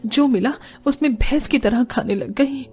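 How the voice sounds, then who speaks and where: A woman's voice: high voice, measured pace, a little mellow. A woman playing a dutiful, exasperated young daughter-in-law in a cartoon story, weary and complaining yet compliant. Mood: miserable. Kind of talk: Hindi cartoon story dialogue.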